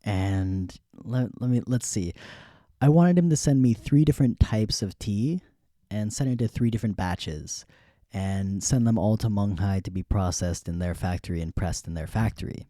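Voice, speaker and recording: A young man hosting a podcast, telling a story in a relaxed, rambling way with frequent laughs. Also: fairly low pitch, normal speed, no room sound, clean studio sound. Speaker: podcast host. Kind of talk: casual storytelling.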